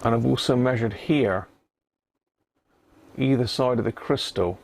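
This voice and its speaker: Gravelly British man thinking aloud. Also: mid-range voice, unhurried, darkish tone. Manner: muttering to himself while working, thinking aloud. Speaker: livestream host, radio repair hobbyist. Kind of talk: casual live repair commentary.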